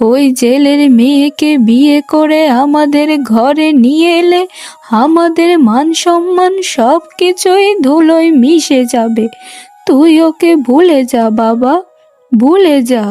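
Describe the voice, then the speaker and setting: A woman's voice: sits high, slow pace, warm tone, soft background music. A woman voicing a proud, domineering middle-aged mother with an emphatic manner in a cartoon family drama. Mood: outraged, stern.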